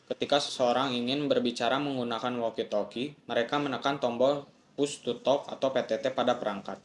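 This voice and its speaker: A young man, a student presenter, reading out a class presentation at an even, steady pace. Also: medium pitch. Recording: faint background noise.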